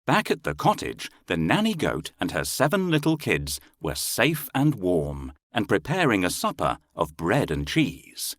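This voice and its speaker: A man's voice: sits low, huge pitch swings, measured pace, hardly any pauses, a bit bright, no echo, studio quality. A man narrating a children's fairy tale as a scripted storyteller.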